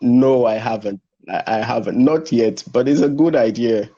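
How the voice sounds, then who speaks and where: A man's voice: normal speed, dark tone. Hesitant man, muffled sound. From Benin. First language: French. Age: young adult. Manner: hesitant, many restarts and fillers. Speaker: translation student guest. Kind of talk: casual interview answers. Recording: muffled low-fidelity audio.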